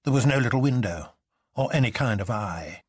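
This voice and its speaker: Male narrator, for classic fiction audiobook. Highly varied intonation, husky edge, measured dramatic delivery. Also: no room sound, studio quality. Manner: expressive, measured storytelling. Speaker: narrator reading a novel.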